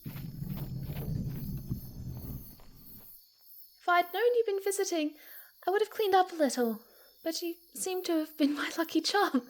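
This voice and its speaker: A woman's voice: very high-pitched, a little fast. A woman voicing a playful, mischievous young female fox spirit in an ASMR roleplay, with a cutesy, giggly, teasing manner. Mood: warm, fussing.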